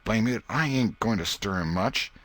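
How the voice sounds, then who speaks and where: A man's voice: lowish voice, lively intonation, moderate pace, a bit bright, no room sound, pitched above the performer's own voice. A man acts out a young schoolboy full of mischief in a storybook. He is bossy, eager and stubborn, and talks in a rustic, folksy way with a dry, close sound. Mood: defiant. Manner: rustic colloquial boyish talk.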